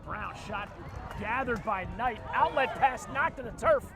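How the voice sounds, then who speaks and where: A man with a Philadelphia accent calling a lacrosse game play by play, quick and jokey, shouting on big plays. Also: very high voice, lively intonation, over music. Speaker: play-by-play commentator. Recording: outdoor broadcast with loud crowd and noise.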